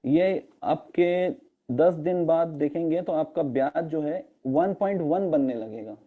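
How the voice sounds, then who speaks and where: A man with a hoarse throat presenting in an online meeting, explaining step by step and slipping English terms into his talk, with fillers. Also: on the high side, slow pace, narrow, tinny audio. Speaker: network marketing presenter. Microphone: video call.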